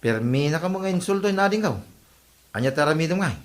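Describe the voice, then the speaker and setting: A man's voice: fairly high pitch, expressive, brisk, natural voice. A man with an Ilocano accent playing an easygoing neighbour in a comedy audio drama. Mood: placating.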